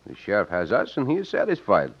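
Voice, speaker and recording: An actor playing a confident, resolute Mexican cowboy hero in an old-time radio western, with a Mexican accent and deliberate, formal phrasing. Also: male voice, lowish voice, wildly swooping pitch, breathy edge, heavily acted. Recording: muffled vintage radio recording.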